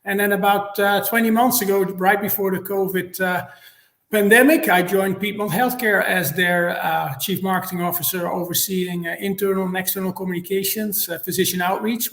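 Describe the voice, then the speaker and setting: A middle-aged man from the Netherlands with a Dutch accent, a native Dutch speaker, explaining things in a measured, structured way as an interview guest, recorded in an echoey room. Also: sits high, fairly even intonation, gravelly, fairly bright tone. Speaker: interview guest, marketing executive.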